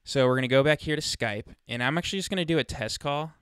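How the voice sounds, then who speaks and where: A man presenting a casual software walkthrough, chatting informally and thinking aloud as he demonstrates, speaking into a close USB microphone. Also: mid-range voice, fast talker, clean tone, dry recording. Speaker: tutorial presenter.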